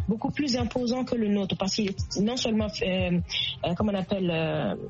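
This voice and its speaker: A woman's voice: mid-range voice, moderate pace, a little mellow. A woman from Burkina Faso talks through her music as a radio guest, stumbling over repeated words as she gathers her thoughts. Her voice sits in the middle of the range. The sound is a little dull, with faint music behind her. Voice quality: stammering restarts, laughs easily. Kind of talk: radio interview.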